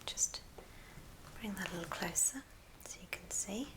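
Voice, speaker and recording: A woman whispers an unhurried, step-by-step craft tutorial in a breathy ASMR style, as the host demonstrating it. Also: lowish voice. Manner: unhurried, murmured step-by-step narration. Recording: hissy background noise with small clicks.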